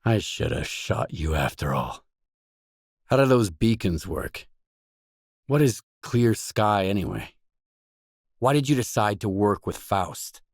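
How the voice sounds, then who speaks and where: An actor playing a guarded, weary mercenary in a video game, speaking in blunt, matter-of-fact lines. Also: male voice, lowish voice, sing-song, takes it slowly, pauses often, dry recording, studio quality, exaggerated voice. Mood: guarded, questioning.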